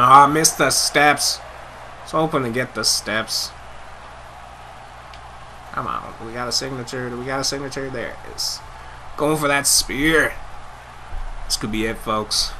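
A young man giving loud, excitable live commentary over a wrestling video game, his voice getting hoarse. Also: mid-range voice, background noise. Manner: hyped, shouting play-by-play calls. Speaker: gameplay commentator.

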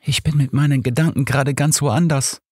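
Man voicing an inquisitive woman, pitched up. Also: fairly even intonation, a little fast, darkish tone, husky, no room sound, clean studio sound, close to an everyday voice. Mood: troubled. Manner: direct, questioning. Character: spirited, inquisitive woman companion of a detective. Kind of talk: audiobook character reading.

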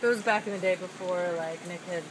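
A woman's voice: lowish voice, fairly even intonation, a little fast. A woman chatting casually in a group, recorded outdoors on a camera with ambience around her. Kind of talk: casual chat. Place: outdoor yard at night. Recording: noisy outdoor phone video.

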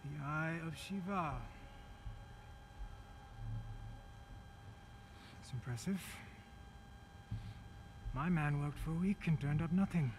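A man playing a menacing middle-aged warlord in a video game, philosophical and cold, measured and pompous in his delivery. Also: a little high, background noise, lightly acted. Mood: cool, appraising. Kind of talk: video game cinematic acting.

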